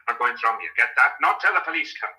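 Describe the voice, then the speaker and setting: A man's voice: medium pitch, animated, fast, bright, forceful tone, poor audio. An actor in a radio drama playing a blunt, menacing local man who leads a gang, terse and taunting.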